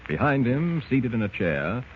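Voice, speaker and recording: A man's voice: medium pitch, big pitch swings, a little slow. A man narrating a 1940s radio serial from a script, with dramatic, declamatory announcer delivery. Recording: thin, tinny old radio recording, noise.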